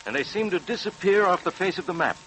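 A sober police superintendent recounts a case like a formal 1940s radio narrator. His voice is bright and set a little high for a man. A faint hum sits under the old recording. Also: lively intonation, fast talker, low-quality recording.

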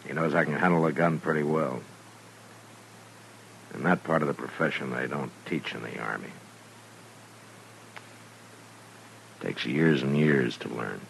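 An American actor playing a gruff, weary frontier marshal in a 1950s radio western, terse, grave and plainspoken. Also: male voice, a bass voice, normal speed, slight background noise, low-quality recording. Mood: grim. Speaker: radio drama lead actor.

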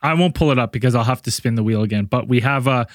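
A man's voice: mid-range voice, quick pace, no echo, studio quality. A man co-hosting a podcast, talking in a loose, rambling way and laughing often while he announces giveaways and tells stories. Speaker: podcast co-host. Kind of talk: casual chat.

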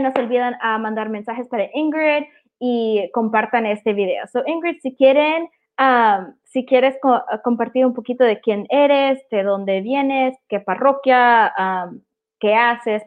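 A tinny, phone-like recording of a woman with a fairly high voice. She hosts a casual, chatty interview and slips English words into her Spanish. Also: unhurried. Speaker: host interviewing a guest. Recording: tinny, phone-like call audio.

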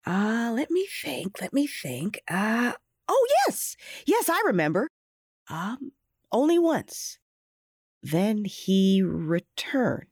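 A folksy, wise imaginary friend, with a rough, husky voice. The pitch swoops dramatically while the manner stays patient and gentle, in fairy-tale drama style. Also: female voice, very animated, takes it slowly, no echo, clean studio sound, heavily acted. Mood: kindly. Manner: patient, explanatory, theatrical.

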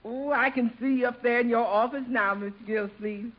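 An actress playing a good-humored, teasing housekeeper in a 1950s radio comedy with a sing-song delivery. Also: female voice, a little high, fairly even intonation, brisk, close to an everyday voice. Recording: muffled, hissy vintage radio recording.

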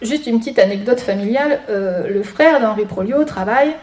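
A woman's voice: fairly high pitch, moderate pace, a little mellow. A woman giving an informal public lecture as a guest speaker, with wry, sarcastic asides. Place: conference room before an audience. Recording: echoey room, distant mic, noisy.

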